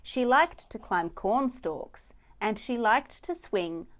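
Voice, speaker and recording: A woman's voice: fairly high pitch, lively intonation, slow. A woman narrating a children's storybook as a read-aloud storyteller.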